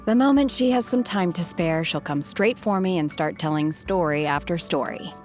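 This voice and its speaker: A woman playing an aloof, calm young woman in a video game, speaking in a composed, detached and formal way. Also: on the low side, normal speed, faint music behind, lightly acted. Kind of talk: restrained game voice acting.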